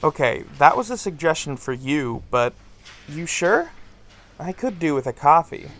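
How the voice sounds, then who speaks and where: A man playing a teasing, gravelly colleague. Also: on the high side, big pitch swings, a little slow, fairly bright tone, exaggerated voice. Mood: puzzled. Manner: laid-back, teasing, chatty. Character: easygoing, teasing male professor colleague. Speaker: English professor. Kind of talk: audio drama acting.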